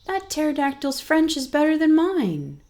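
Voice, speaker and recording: A woman's voice: sits high, very animated, normal speed, a little mellow, pitched above the performer's own voice. A woman voicing a flustered, nervous but good-natured female adventurer in a children's storybook, hesitant and bewildered.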